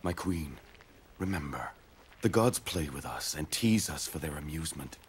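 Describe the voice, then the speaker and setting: A man playing a grave, consoling Trojan prince in a video game, earnest and measured. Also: a bass voice, animated, a little hiss or hum. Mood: grave comfort. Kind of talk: dramatic video game acting.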